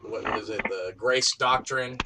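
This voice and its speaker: Male round table host, loose crude talk that circles and repeats, mid pitch, picked up from a distance. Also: normal speed. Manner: rambling, repetitive, crude. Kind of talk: casual profane round table chat. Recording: distant noisy mic with clicks.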